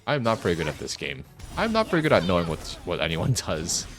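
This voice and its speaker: A man's voice: low-pitched, wildly swooping pitch, fast talker, fairly bright tone. A man doing casual live gameplay commentary, rambling and musing to himself as he streams. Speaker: game streamer. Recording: faint game music behind the voice.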